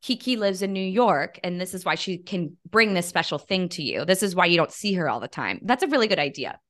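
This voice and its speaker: A bubbly young woman in casual podcast chat, quick to laugh and to toss in an offhand curse. Her voice sits a little low. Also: very animated, fairly bright tone. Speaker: podcast co-host.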